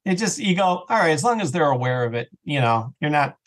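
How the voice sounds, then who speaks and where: A man's voice: fairly high pitch, very animated, brisk. A man chatting casually as an interview guest, telling stories and acting out imagined remarks as he goes. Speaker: podcast guest, audio drama creator.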